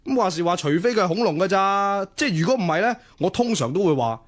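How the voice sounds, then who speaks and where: A man's voice: high voice, expressive, quick pace. An actor with a Hong Kong Cantonese accent playing a cocky, flippant young student womanizer in radio drama dialogue, teasing and dispensing mock wisdom. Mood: flippant, prodding.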